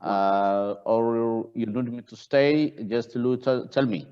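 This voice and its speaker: Guy, halting simple english, muffled call audio. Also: medium pitch, clear, resonant tone. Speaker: panelist, former politician. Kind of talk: casual chat on a video call. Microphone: video call. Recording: dull, lo-fi video-call audio.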